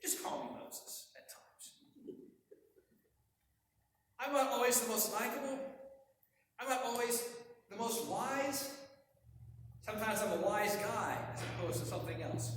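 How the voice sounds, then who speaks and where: A male pastor preaching a sermon in a church, conversational and wry, getting excited as he preaches. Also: high voice, takes it slowly, pauses often, noisy background. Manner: gets excited and hard to follow when preaching. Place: church sanctuary. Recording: echoey hall, voice from far off.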